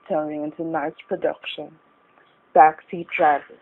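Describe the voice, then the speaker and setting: Low-pitched woman announcer, thin radio sound. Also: flat delivery, a little slow. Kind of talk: dramatic radio-show announcing. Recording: thin phone-like band, faint noise.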